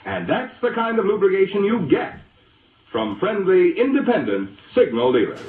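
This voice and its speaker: A man's voice: high-pitched, big pitch swings, normal speed. An American man announcing on radio in a 1940s advertising style, with an emphatic, polished salesman's address. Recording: vintage radio broadcast, narrow-band, boxy echo.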